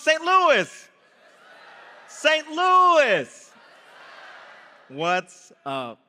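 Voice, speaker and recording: Energetic young man speaking in a hall. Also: high-pitched. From Brazil, raised in Guyana. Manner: energetic storytelling, comic timing, addresses the crowd. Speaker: startup founder giving a gala speech. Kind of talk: live stage speech with audience call and response. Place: large event hall before an audience. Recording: reverberant hall through a PA.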